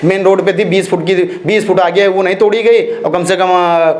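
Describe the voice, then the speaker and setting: A man's voice: high voice, fairly even intonation, normal speed, darkish tone. A man giving opinionated interview answers, emphatic and argumentative, repeating phrases and throwing out rhetorical questions. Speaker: interviewee, social activist. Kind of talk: opinionated street-interview talk.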